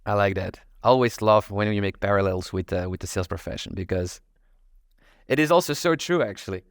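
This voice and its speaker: Podcast host, man, low smooth voice, dry sound, hesitant and thinking aloud. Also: brisk, dry recording. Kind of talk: interview questions.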